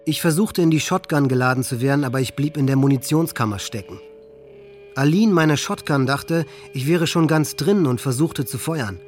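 A man's voice: medium pitch, normal speed, a little mellow, faint music behind, close to an everyday voice. An actor playing a hate-filled, resentful teenage boy in a German radio drama, bitter, boastful and profane. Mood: darkly sardonic. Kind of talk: German radio docudrama monologue.

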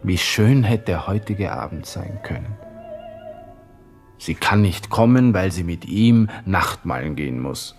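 An actor playing an arrogant, irritable young Austrian lieutenant from Vienna, brooding and grumbling to himself in a radio play monologue. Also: male voice, low-pitched, lively intonation, a little slow, faint music behind. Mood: irritated brooding.

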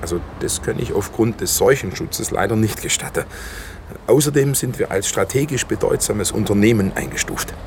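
An actor playing an evasive, officious slaughterhouse manager in a naturalistic radio drama, talking in formal, defensive corporate phrases. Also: male voice, fairly low pitch, normal speed, gravelly, a little hiss or hum. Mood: defensive, firm.

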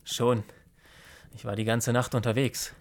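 A man's voice: medium pitch, little pitch movement, a little slow, natural voice. A man playing a polite, charming young apprentice gardener in a radio play, courteous and gallant. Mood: charming, friendly. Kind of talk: German radio-play acting.

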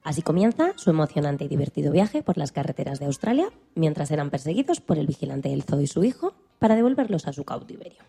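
A woman's voice: low-pitched, sing-song, fast, vocal fry, hardly any pauses, dark tone, slightly rough. A woman co-presenting a radio programme from a script.

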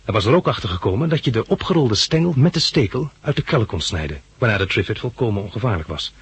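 A man's voice: fairly low pitch, sing-song, fast, breathy edge, exaggerated voice. An actor playing an eager, polite young plant enthusiast who tells his story in a 1960s Dutch radio drama. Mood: reflective narrating. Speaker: lead character and narrator.

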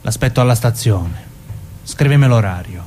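Man acting menacing southern Italian mobster, swinging pitch. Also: lively intonation, normal speed, mellow, a little hiss or hum, close to an everyday voice. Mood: pressing, cajoling. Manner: coarse, insistent, colloquial. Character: menacing, controlling Calabrian mobster, estranged husband and father. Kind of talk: stage-style radio drama acting.

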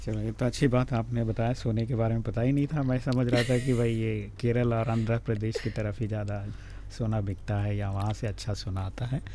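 Mellow-voiced Indian man hosting radio. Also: lowish voice, flat delivery, a little fast, slight background noise. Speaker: radio host. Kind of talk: casual talk show interview.

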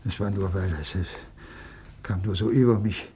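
An actor playing a careworn, tender old father in a radio drama, halting, pleading and apologetic, with a husky, creaky voice. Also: lowish voice, steady tone, dark tone, a little hiss or hum, lightly acted. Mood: embarrassed, apologetic. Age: older.

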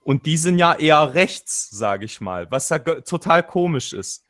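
A man's voice: a little high, lively intonation, normal speed, fairly bright tone. Rambling Swabian-accented man on a call. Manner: rambling, self-interrupting, acts out quotes. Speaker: panel guest. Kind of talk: casual political chat. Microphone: video call.

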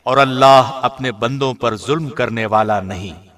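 Male reader, medium pitch, formal and solemn scripture narration, measured delivery. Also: a bit bright. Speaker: translation reader, radio host.

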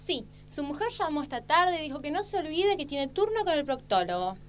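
A woman's voice: high-pitched, very animated, fairly quick. A woman playing an exasperated secretary in a satirical sketch, formal and scolding. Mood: polite, curt. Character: exasperated female secretary of a lecherous boss. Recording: noticeable background noise and hum.

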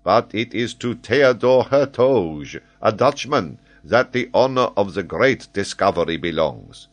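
A man voicing a proud, excitable French geographer from a storybook, pedantic and emphatic as he lectures. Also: fairly low pitch, unhurried, voice pushed up. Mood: eager lecturing.

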